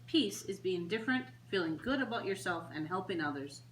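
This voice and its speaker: A woman reading picture books aloud to young children in an animated, deliberate story-time style with simple, child-directed phrasing. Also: medium pitch. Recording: roomy echo, faint background noise.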